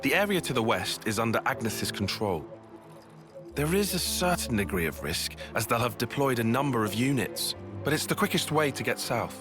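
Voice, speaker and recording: A man's voice: mid-range voice, big pitch swings, moderate pace, over music, natural voice. A man playing a logical, cautious young soldier in a video game, with formal, precise wording. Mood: measured, advising. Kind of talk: video game cutscene acting.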